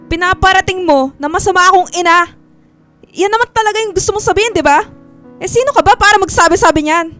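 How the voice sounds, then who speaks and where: Cranky defensive mother in a radio drama, super high voice, snaps easily. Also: quick pace, fairly bright tone, faint music behind. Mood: furious. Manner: brusque, defensive. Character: irritable, dismissive middle-aged mother, quick to anger. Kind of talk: Filipino radio drama acting.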